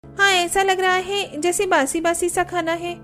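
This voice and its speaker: A woman's voice: very high-pitched, little pitch movement, measured pace, fairly bright tone, faint music behind, pitched above the performer's own voice. A woman voicing an aggrieved young woman in an Indian family cartoon, complaining insistently. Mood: suspicious, complaining. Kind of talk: acted cartoon story dialogue.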